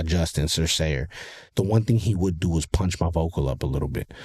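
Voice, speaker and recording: A very low, nasal male voice. He talks loosely and with energy, dropping curse words and "you know what I'm saying" as he goes, like a rapper chatting on a radio show. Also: breathy edge. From Ontario, California. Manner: loose, animated and profane, with lots of fillers. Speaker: rapper, guest. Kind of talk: casual interview chat.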